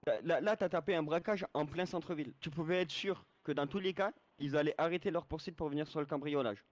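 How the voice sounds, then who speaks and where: Fast-talking man, lecturing and argumentative. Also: fairly high pitch, no room sound. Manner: lecturing, repeatedly checks he is understood. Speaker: game server moderator. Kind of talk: argumentative explaining in online role-play chat.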